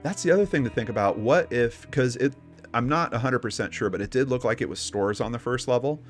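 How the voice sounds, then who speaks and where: A man's voice: medium pitch, fairly quick, faint music behind. A man co-hosting a casual discussion show, chatting and telling stories in an informal, skeptical way. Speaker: podcast co-host.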